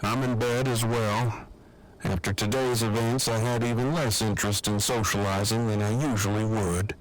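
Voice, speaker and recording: A man playing a formal, precise, dryly affectionate man in an audio drama, speaking in wordy deadpan phrases. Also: lowish voice, moderate pace, close to an everyday voice, rough recording. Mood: dry, fond. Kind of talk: fan audio drama acting.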